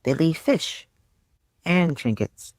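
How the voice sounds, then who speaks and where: Woman acting gentle young woman, wistful, soft, expressive, audiobook drama, unhurried, dry sound. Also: low voice, no room sound, voice pushed up.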